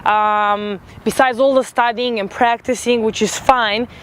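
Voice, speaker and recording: A woman's voice: fairly high pitch, fairly even intonation, a little slow, fairly bright tone, slight background noise. A woman in her twenties from Serbia, an international student giving emphatic, opinionated interview answers with many fillers, outdoors in a city. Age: 20s.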